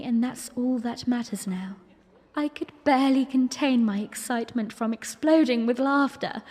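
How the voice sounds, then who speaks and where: A woman's voice: on the high side, darkish tone, long reverb tail. A woman playing a young princess, narrating her memory in a dramatic first-person audio drama style. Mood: delighted wonder.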